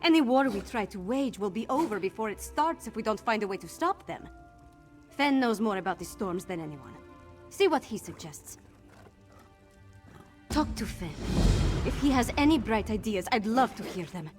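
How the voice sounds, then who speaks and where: A woman playing a brisk, determined young noblewoman in a fantasy video game, speaking frankly and in a businesslike way. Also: medium pitch, animated, lightly acted. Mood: urgent, determined. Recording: game music behind the voice.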